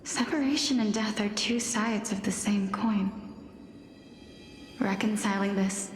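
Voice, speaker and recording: An actress playing a gentle, sorrowful young woman in a fantasy game, speaking softly and solemnly. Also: medium pitch, measured pace, lightly acted. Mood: melancholy, questioning. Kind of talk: dramatic fantasy game voice acting. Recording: very echoey, reverberant, prominent music behind.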